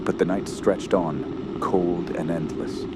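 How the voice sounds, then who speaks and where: An actor playing a fearful, haunted camper, narrating a horror tale in a solemn, measured and dramatic way. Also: male voice, low-pitched, fairly even intonation, heavy background noise. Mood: haunted, uneasy. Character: haunted, fearful male wilderness camper telling his tale. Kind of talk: scripted first-person horror narration.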